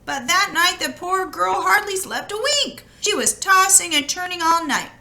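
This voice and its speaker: A woman reading a children's story aloud expressively, with drawn-out, dramatic emphasis. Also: very high-pitched, animated, sharp tone. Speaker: storybook reader. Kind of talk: expressive children's read-aloud, with casual asides.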